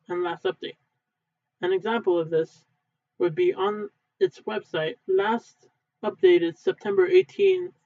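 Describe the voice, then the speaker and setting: A man reading a textbook aloud in a halting way, stumbling and correcting himself as he goes. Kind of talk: unedited read-aloud of a textbook. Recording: thin phone-like low-fidelity sound.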